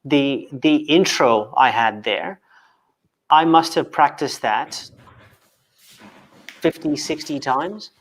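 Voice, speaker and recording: Man from Kenya, halting repetitive talk. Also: a little high. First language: not English. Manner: repeats words, restarts often, stutters. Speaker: guest. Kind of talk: casual video call interview.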